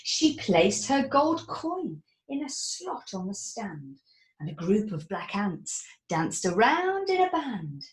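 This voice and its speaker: Author reading her picture book aloud, slow and dramatic, whispers and gasps, roomy echo. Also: medium pitch. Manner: expressive, sing-song, with dramatic whispers and gasps.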